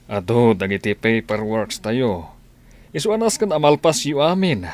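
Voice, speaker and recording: A man's voice: mid-range voice, expressive, moderate pace, a little mellow, lightly acted. A man with an Ilocano accent playing an authoritative office boss giving orders in a radio drama. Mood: businesslike.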